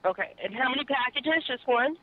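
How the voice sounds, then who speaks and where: A woman's voice: high-pitched, expressive, a little fast. A woman working as a shipping customer service agent, talking on a phone call. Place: on the other end of a phone call. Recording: muffled narrowband phone line.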